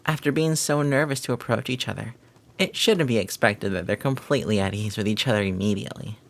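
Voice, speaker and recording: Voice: mid-range voice, brisk, creaky, close to an everyday voice. A performer playing a flirty, devoted maid who teases and gossips in formal, flowery phrasing, in a scripted romantic audio roleplay. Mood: gossipy, amused.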